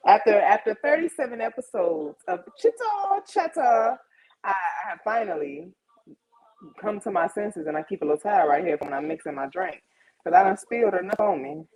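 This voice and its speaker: A woman's voice: medium pitch, expressive, normal speed, crowd noise, poor audio. A woman of about thirty hosting a casual livestream, chatting informally and slangily straight to her audience. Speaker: host of a live chat show.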